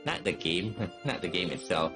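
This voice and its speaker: A man's voice: deep voice, expressive, moderate pace, music in the background. A middle-aged man chatting casually and wryly as he streams a game, rambling along. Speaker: gaming streamer. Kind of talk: casual chat over gameplay.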